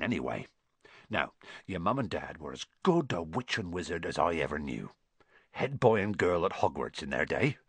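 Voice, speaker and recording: A big gruff rustic giant for a storybook, halting folksy talk, rough husky voice, really animated. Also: male voice, deep voice, no echo, full character voice. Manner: folksy dialect, dropped consonants, halting. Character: gruff giant man, rustic, hot-tempered but kindhearted.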